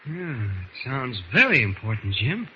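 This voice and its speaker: An actor playing a calm, patient, intent reporter hero in a 1940s-style radio serial. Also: male voice, medium pitch, measured pace, full character voice. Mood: calm, purposeful. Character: calm, kindly grown-up male reporter hero investigating. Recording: muffled, noisy old radio recording.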